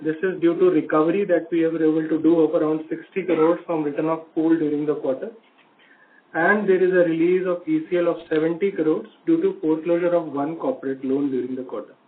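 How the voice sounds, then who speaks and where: A man's voice: a little high, fairly even intonation, fairly quick. A man with an Indian accent speaking as a finance executive, formally presenting results on an earnings call. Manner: formal, figure-heavy reporting. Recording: tinny phone line with faint background noise.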